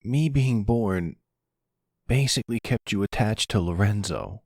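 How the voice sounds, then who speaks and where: A sensitive young man of college age as a male actor plays him, with a husky edge and a very lively pitch. He talks haltingly and defensively, close and dry, in an audio drama style. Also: dry recording. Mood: sad, vulnerable. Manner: halting, stammering, higher and more boyish. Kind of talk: ASMR audio roleplay acting.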